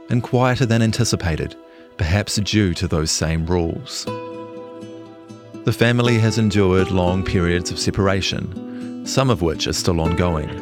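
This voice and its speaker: A man reading a scripted longform news feature as a journalist narrator. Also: low voice.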